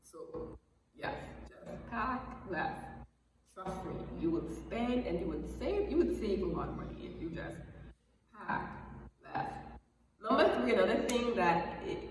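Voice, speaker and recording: A woman's voice: a little high, very animated, unhurried, a little mellow. Woman, Bahamian accent, chatty, emphatic, repeats herself, far from the mic, long echo. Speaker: vlogger. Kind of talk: casual advice vlog. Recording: echoey room, far from the mic, background noise.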